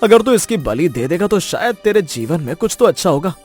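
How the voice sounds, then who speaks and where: A man's voice: high voice, big pitch swings, brisk, darkish tone, breathy edge, soft background music, full character voice. A man voicing husbands and schemers in a melodramatic cartoon moral story, with an emphatic, theatrical delivery. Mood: coaxing. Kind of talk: dramatic cartoon story dialogue.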